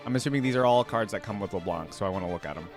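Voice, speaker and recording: A man's voice: lowish voice, expressive, rapid-fire, over music. A man hosting a casual reaction video, talking enthusiastically and rambling with many fillers.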